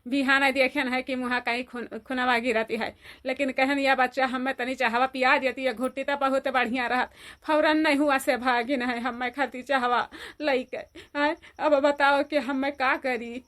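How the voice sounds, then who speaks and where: A woman with an Awadhi accent playing a grieving, middle-aged village mother-in-law in a cartoon drama, plaintive and drawn-out in her lamenting. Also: high voice, flat delivery, fairly bright tone. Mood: anguished lament. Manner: plaintive, drawn-out lamenting, breaking into mournful song. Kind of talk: amateur cartoon drama dub.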